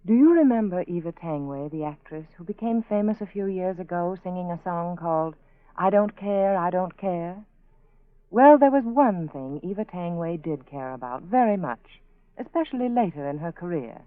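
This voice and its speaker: Lively-pitched woman in vintage radio ad. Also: moderate pace, no room sound. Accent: American. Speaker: sponsor's spokeswoman. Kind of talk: scripted 1940s radio advertisement. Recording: narrow, phone-like 1940s radio broadcast.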